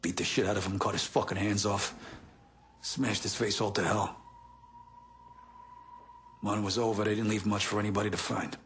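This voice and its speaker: A man's voice: lowish voice, steady tone, moderate pace, long pauses, a bit bright, slight background noise, lightly acted. A man acting a weary, profane middle-aged gangster in a video game, talking bluntly and streetwise. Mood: bitter, grim. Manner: blunt, streetwise, heavy swearing.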